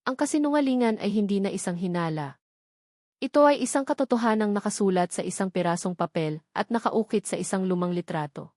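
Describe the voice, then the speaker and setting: Woman narrator doing a dramatic love story, short phrases w pauses, medium pitch, really dry audio. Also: darkish tone, dry recording.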